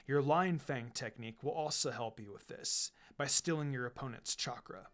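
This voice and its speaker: A man voicing a calm, firm, encouraging adult ninja teacher with a measured, instructive delivery in a story reading. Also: mid-range voice, voice pushed down, natural voice. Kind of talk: fan fiction reading with character voices. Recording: music behind the voice.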